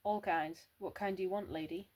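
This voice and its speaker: A woman voicing an old male street seller of puppies, speaking louder with a salesman's drawn-out patter in an amateur read-aloud. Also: fairly low pitch, fairly even intonation, close to an everyday voice. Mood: salesy. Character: older male street puppy seller, pushy.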